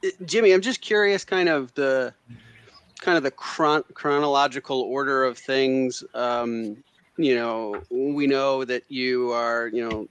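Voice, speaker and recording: A man on a livestream asking questions in a curious, hedging way, padding his questions with "you know." His voice is smooth and clear, at a typical male pitch, and it sounds dry and close on a call mic. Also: fairly even intonation, a little slow, a bit bright, dry recording. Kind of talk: casual livestream interview. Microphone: video call mic.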